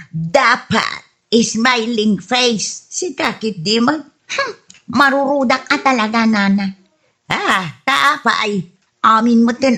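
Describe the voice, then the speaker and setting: A woman playing a touchy, reformed snack vendor in an Ilocano-accented radio comedy drama, with a husky voice and plaintive, indignant manner. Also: on the high side, sharp tone, heavily acted. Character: touchy reformed woman peddling afternoon snacks, easily offended.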